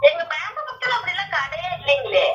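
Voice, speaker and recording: Brief: high-voiced phone call recipient, argumentative and questioning, muffled lo-fi line. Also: sing-song, brisk, sharp tone. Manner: protesting, questioning, repetitive.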